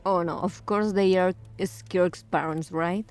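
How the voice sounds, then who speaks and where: A woman's voice: on the low side, fairly even intonation, unhurried, darkish tone, faint music behind. A woman streamer giving casual, emotionally reactive commentary on game footage in broken phrases.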